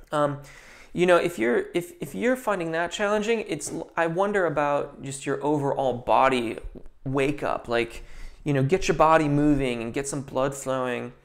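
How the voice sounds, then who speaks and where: A man who is a vocal coach, answering viewers' questions in a relaxed, chatty live stream with lots of ums and restarts. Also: a little high.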